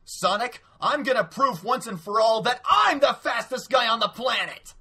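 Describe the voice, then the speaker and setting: A man voicing a cocky, boastful young highway cop, smug and theatrical, in an amateur anime dub. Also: sing-song, normal speed, fairly bright tone, a little hiss or hum. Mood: challenging.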